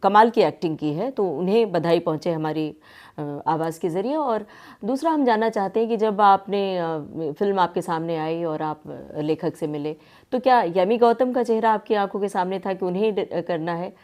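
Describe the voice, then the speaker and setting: A woman's voice: on the low side, moderate pace, a little mellow. A woman hosting a conversational radio interview, asking flowing, formally worded questions in an attentive manner.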